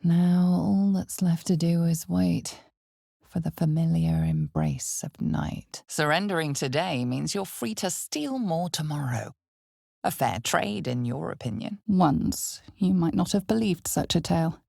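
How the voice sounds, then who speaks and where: A woman playing an ominous, all-knowing narrator of a dark fantasy video game, speaking in a measured, dramatic way with hushed intensity. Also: on the low side, expressive, vocal fry, dry recording, studio quality, full character voice. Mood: solemn, measured. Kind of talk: scripted second-person fantasy game narration.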